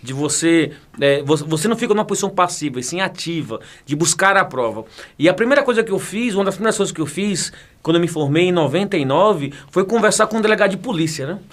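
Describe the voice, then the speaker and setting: Guy in his 40s, jokey and chatty, pretty high voice. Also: animated, fast talker, a bit bright. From Bahia, Brazil. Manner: chatty, jokey, laughs often, repeats words. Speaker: guest, federal police chief and teacher. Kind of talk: casual interview talk.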